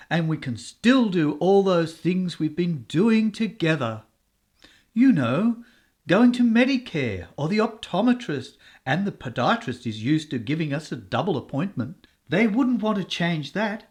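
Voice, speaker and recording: A man playing a dim but doting older male sweetheart in a comic radio soap, speaking in an earnest, slow-witted way. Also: on the high side.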